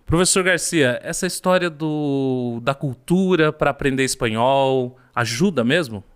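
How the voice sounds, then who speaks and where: A man's voice: mid-range voice, measured pace, clear, resonant tone. Man, host, casual chat, affirming, asks follow-ups.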